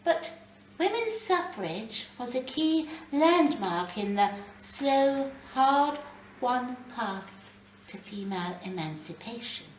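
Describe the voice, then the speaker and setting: A woman's voice: fairly high pitch, big pitch swings, slow. A woman voicing a reasonable, slightly impatient female ghost guide from a short story, in a lecturing manner. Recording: roomy, distant mic, faint background noise.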